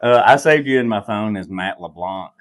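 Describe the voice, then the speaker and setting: A man's voice: fairly low pitch, normal speed. A man hosting a podcast in a casual chat, easygoing and rambling with loose asides. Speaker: podcast host.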